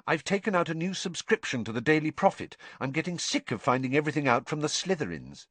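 A man doing a sharp-tongued teenage girl. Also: a little high, sing-song, slightly rough, no echo, pitched above the performer's own voice. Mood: scoffing. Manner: brisk, exasperated lecturing.

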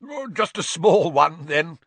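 A man voicing a loud, bullying, drunken middle-aged aunt with a booming, scornful manner. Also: sing-song, slow, slightly rough, no echo, exaggerated voice. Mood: jovial.

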